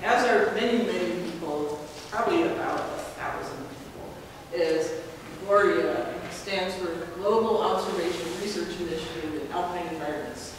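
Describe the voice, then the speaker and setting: A woman presenting her research at a conference, in a relaxed, chatty way with frequent pauses. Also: fairly low pitch. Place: large lecture hall. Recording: echoey hall, mic far off, noisy.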